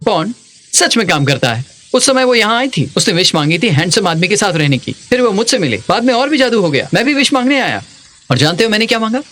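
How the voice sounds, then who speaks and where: A man's voice: high-pitched, sing-song, quick pace, fairly bright tone. A man dubbing a chatty, anxious subordinate to a boss in a drama, eager and deferential. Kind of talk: Hindi dubbed drama acting.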